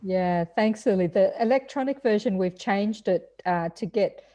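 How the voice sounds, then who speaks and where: A woman on a video call, relaxed and using fillers as she explains a book's editions. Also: mid-range voice, moderate pace, muffled recording. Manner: relaxed, fillers, laughs easily. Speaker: book co-author. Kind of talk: casual online video call chat.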